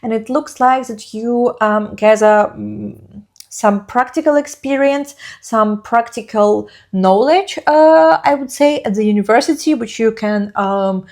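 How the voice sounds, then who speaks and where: A woman's voice: a little high, measured pace, a little mellow. A young woman co-hosting a casual podcast chat, talkative and giggly, with many hesitant fillers. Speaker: co-host.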